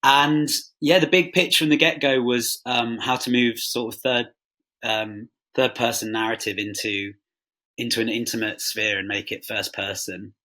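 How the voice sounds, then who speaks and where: A man's voice: mid-range voice, steady tone, moderate pace, bright, forceful tone. A man talking casually and wryly as a writer being interviewed.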